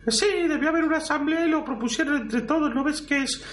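A man with a Spain Spanish accent voicing a timid, rambling priest in an amateur parody audio drama, thin falsetto-like voice, hesitant and apologetic. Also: fast, a bit bright. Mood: hesitant explaining. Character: timid, rambling priest, caretaker brother of a fallen conqueror. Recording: roomy, distant mic, music underneath.

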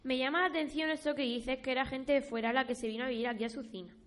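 A woman's voice: high voice, steady tone, quick pace, a bit bright. A woman playing a curious young villager in a radio play, asking questions in a conversational way. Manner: inquisitive.

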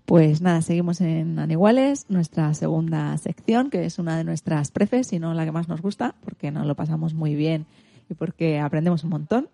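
A woman's voice: deep voice, moderate pace, creaky, mellow, dry recording, faint music behind. A woman hosting a radio programme in a casual style.

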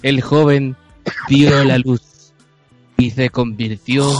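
A Chilean man improvising a mock-solemn comic story as narrator, pausing between short phrases. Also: mid-range voice, fairly even intonation, a little mellow, no echo, faint music behind. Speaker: narrator in an improv comedy show.

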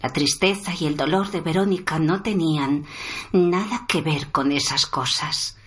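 Sombre female survivor, an actress's role: unhurried, solemn delivery, roomy sound, radio drama. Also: low-pitched. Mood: sorrowful, bitter. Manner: slow, solemn, deliberate. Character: wounded, sombre woman, survivor of violent abuse. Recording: roomy echo under music.

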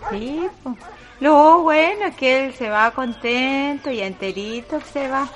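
A woman's voice: sits high, lively intonation, measured pace. An actress playing a plain-spoken, devout country woman with a rural Chilean accent in a radio drama. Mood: matter-of-fact. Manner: colloquial, matter-of-fact.

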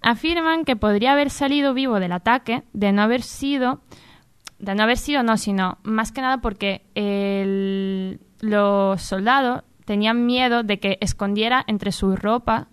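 Woman, radio news contributor, exceptionally clean smooth tone, dry close sound, informal chat, halting delivery. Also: on the high side, dry recording.

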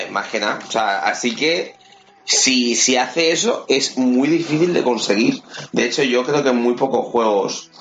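A man's voice: medium pitch, fairly bright tone. A man with a Spanish accent from Spain, talking casually as a co-host of a video game podcast. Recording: muffled lo-fi podcast, music underneath.

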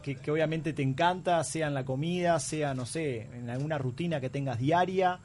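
A man's voice: fairly high pitch, moderate pace, darkish tone, slight background noise. A man with a Uruguayan accent hosting a radio interview and leading it with follow-up questions. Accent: Uruguayan Spanish. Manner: chatty, leads with follow-up questions. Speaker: radio host.

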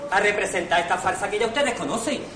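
A man's voice: high voice, fast talker, lightly acted. A man as hapless, bumbling servant, echoey sound. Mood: bewildered. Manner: hesitant, bumbling. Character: hapless, chatty servant Román posing as gentleman. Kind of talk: amateur radio farce acting. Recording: echoey, noisy amateur recording.

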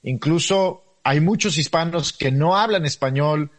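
A man's voice: on the high side, unhurried. A man interviewing a chef, asking probing, chatty questions and adding his own reflections.

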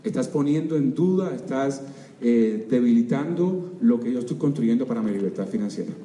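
An older man with a Cuban accent giving an energetic motivational seminar talk, constantly quizzing his audience. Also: medium pitch, normal speed. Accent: Cuban Spanish. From Cuba. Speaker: seminar speaker. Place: large auditorium. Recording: echoey hall, distant mic, faint crowd.

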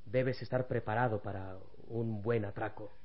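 An actor playing an arrogant, gloating crime boss in an old radio serial, with a husky voice and an imperious manner. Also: male voice, fairly low pitch, steady tone, normal speed, lo-fi sound, lightly acted. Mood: commanding. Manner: imperious, self-satisfied.

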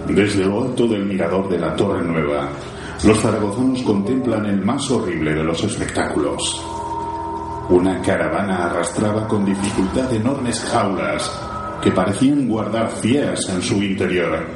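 A grave, low-pitched narrator of a gothic horror radio drama , acted by a man. He speaks with solemn theatrical menace. His voice rings in heavy echo over loud music. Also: expressive, normal speed. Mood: horrified, suspenseful. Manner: solemn, ominous, theatrical delivery. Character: grave male narrator of a gothic zombie war epic.

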